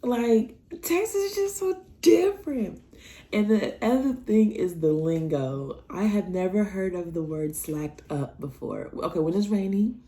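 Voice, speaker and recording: A young woman from Nebraska telling personal stories in a casual vlog, acting out the dialogue with big jumps in her voice. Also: unhurried. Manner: animated, acts out dialogue with big pitch jumps. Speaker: vlogger.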